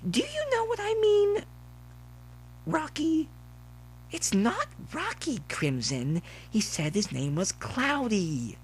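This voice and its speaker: A man's voice: very high-pitched, huge pitch swings, slow, slight background noise, voice pushed up, heavily acted. A man voicing an affected, snobbish young pony mare in a story, gossiping in an exaggerated drawl. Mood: insistent.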